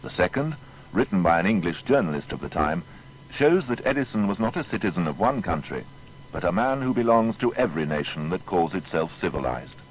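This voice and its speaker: Low male voice, documentary narrator, formal, articulate, animated pitch, faint background noise. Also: brisk, poor audio.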